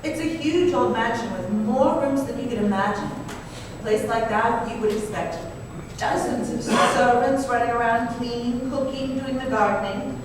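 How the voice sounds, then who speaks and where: A woman's voice: fairly high pitch, moderate pace, close to an everyday voice. A woman playing a naive, anxious, wealthy young wife who confides hesitantly in an old-time radio drama. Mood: puzzled, earnest. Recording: noisy, echoey hall from far off.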